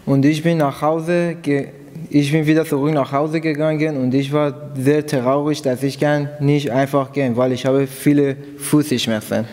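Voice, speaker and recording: A man from Iran giving a personal testimony in halting, phrasing, pausing after each short part. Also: on the high side, fairly even intonation, darkish tone. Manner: simple non-native German, halting phrasing. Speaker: church member giving testimony. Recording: long hall reverb.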